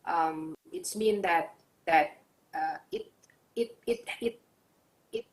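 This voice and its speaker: A woman's voice: fairly low pitch, takes it slowly, roomy sound, poor audio. A woman, answering questions as a webinar panelist, hesitant with many fillers and restarts.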